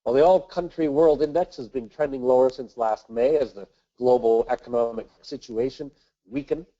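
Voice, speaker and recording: A man with a nasal voice from a cold, hosting a weekly investment webinar and reading market commentary in a measured way with the odd filler. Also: medium pitch, dark tone, muffled recording. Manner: measured, reads from notes with filler uhs. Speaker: webinar host, investment manager.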